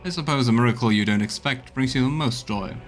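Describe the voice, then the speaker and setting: A man's voice: medium pitch, fast talker, natural voice. Man voicing a hearty, plain-spoken crusader scout. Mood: frank, glad. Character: frank, practical male crusader pathfinder scout. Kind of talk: voiced reading of fantasy game dialogue.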